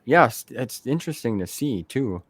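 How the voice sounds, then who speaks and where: A man's voice: mid-range voice, animated, moderate pace, no echo. A man hosting a casual interview in a laid-back, informal, buddy-like way. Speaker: interview host. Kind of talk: casual friendly interview chat.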